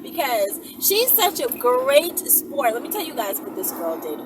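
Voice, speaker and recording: A woman telling stories to her viewers in a casual, playful, slangy and animated way while riding in a vehicle. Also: sits high, measured pace. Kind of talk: casual chatty storytelling. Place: moving vehicle while driving. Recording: loud vehicle noise, distant mic. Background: loud road and vehicle noise.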